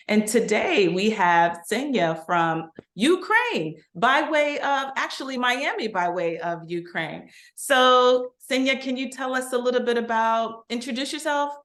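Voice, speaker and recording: Woman, interview host, upbeat, encouraging, lowish voice, echoey room. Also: fairly bright tone. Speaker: magazine editor and host. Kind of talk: casual interview hosting.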